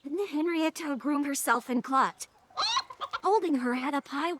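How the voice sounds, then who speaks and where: A woman's voice: sits high, unhurried, background noise. A synthetic-sounding woman's voice reading scripted story narration.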